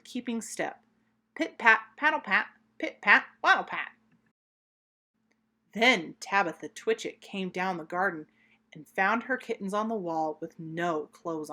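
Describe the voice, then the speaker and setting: Female children's storybook narrator, mid-pitched, very animated, expressive and deliberate in delivery. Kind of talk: expressive read-aloud storytelling.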